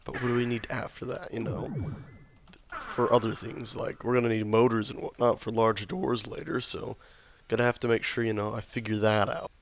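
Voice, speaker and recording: A man with a sore throat and a slightly hoarse voice, casually commentating his game while he builds, mumbling and thinking aloud to himself. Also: mid-range voice, level tone, normal speed, lo-fi sound. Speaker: let's play gamer.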